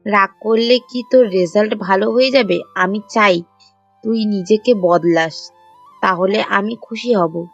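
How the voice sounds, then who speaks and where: A woman playing a caring, firm but forgiving mother of a teenage son in an audio story, gently chiding and encouraging. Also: mid-range voice, measured pace, muffled recording, soft background music. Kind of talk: Bengali audio story acting.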